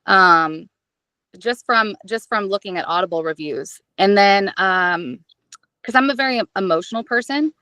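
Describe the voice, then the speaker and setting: A woman audiobook narrator chatting as an interview guest, expressive and self-deprecating, with a casual, animated way of talking. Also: mid-range voice, normal speed, clear, resonant tone, dry recording.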